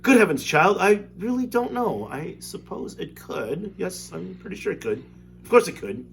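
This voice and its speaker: Storybook eccentric, theatrical and dithering, lifts his pitch for the exclamations, read by a man. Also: big pitch swings, moderate pace, vocal fry, slight background noise, heavily acted. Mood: fretful. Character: eccentric fussy male candy maker.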